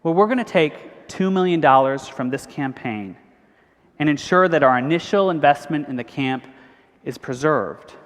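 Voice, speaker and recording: A priest, giving an earnest, measured convention speech. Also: male voice, a little high, animated. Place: large cathedral. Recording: long hall reverb.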